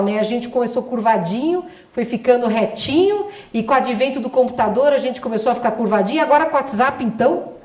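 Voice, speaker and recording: A woman's voice: a little high, a little mellow. A middle-aged woman giving a spiritual lecture as a doctor, talking emphatically and asking the audience rhetorical questions. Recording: echoey hall.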